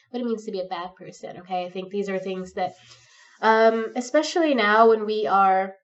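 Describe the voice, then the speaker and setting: A woman in her thirties with a cold, talking off the cuff in a rambling, hesitant way full of ums and likes, a little stuffed up and sniffly. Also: medium pitch. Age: 30s. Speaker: pagan vlogger. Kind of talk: unscripted rambling monologue. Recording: distant, dull lo-fi sound.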